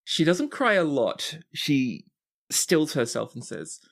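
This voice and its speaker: A man's voice: a little high, huge pitch swings, slow, creaky. A man working as game master, improvising narration for a tabletop role-playing game.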